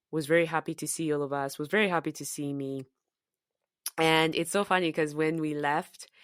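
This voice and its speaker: Low-voiced slow Zambian woman. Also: clean tone, no room sound, studio quality. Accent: Zambian, softened by years in the US and UK. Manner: reflective and searching, with frequent fillers and restarts. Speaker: podcast co-host. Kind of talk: casual conversational podcast chat.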